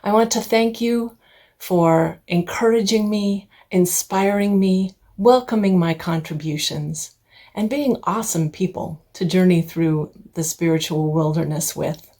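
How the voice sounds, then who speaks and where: A woman's voice: lowish voice, measured pace, mellow. A middle-aged woman, a singer and intern minister, gives a heartfelt personal address to her congregation.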